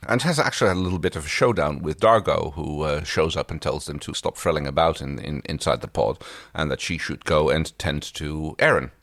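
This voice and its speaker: A man's voice: rumbling low, fairly quick, hardly any pauses, fairly bright tone, no echo, clean studio sound. A man co-hosting a podcast, casually talking through a TV episode. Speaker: podcast co-host.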